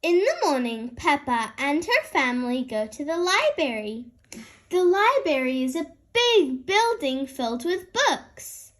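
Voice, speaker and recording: A woman hosts a read-aloud for young children. Her voice is very high and animated, and she tells the story slowly and expressively. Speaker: storyteller, host.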